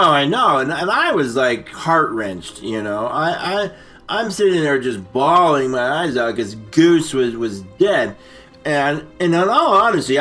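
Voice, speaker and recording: Bright-voiced, rambling man telling stories. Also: mid-range voice. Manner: rambling, stammering, with muddled names and asides. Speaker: story-time show host. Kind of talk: comic, improvised movie recap storytelling.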